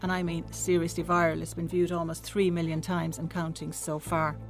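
A woman's voice: on the low side, little pitch movement, normal speed, soft background music. An Irish woman hosting an interview podcast, asking probing conversational questions. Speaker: podcast host.

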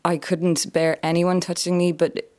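A young adult woman from France, an author calmly explaining her experiences in an interview. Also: on the low side. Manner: earnest, measured, explanatory. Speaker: author and survivor, interview guest. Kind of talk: reflective interview answers.

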